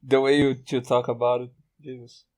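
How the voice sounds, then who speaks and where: A man's voice: medium pitch, flat delivery, moderate pace, a little mellow. A man hosting a podcast in casual conversation with his guests. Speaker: podcast host.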